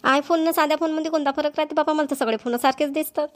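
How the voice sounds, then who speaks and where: Casting: actress as village mum for cartoon, middle-aged, plain-spoken and agreeable, Vidarbha Marathi, highish voice, very quick. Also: female voice, steady tone, natural voice. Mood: agreeable, homely. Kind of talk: amateur cartoon dialogue.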